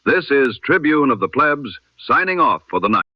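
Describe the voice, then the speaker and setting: A man reading a scripted news bulletin as a 1920s radio announcer, in a solemn, formal, measured oratorical manner. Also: mid-range voice, big pitch swings, no room sound, lo-fi sound.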